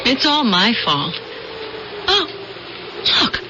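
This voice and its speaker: An actress playing a wary, excitable young woman with an expressive, questioning delivery in an old radio drama. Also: on the high side, very slow, background noise, exaggerated voice. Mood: uneasy wonder. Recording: muffled radio broadcast over loud music.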